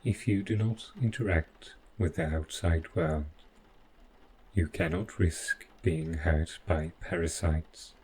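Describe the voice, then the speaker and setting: Guy doing a low slow robot butler, formal and clinical, creepy-devoted, audio roleplay, bit of noise behind. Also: expressive, darkish tone, full character voice, rough recording. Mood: calm, possessive. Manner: formal, measured, clinical phrasing. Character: overprotective possessive male robot butler, calmly menacing, devoted. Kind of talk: scripted audio roleplay addressed to the listener.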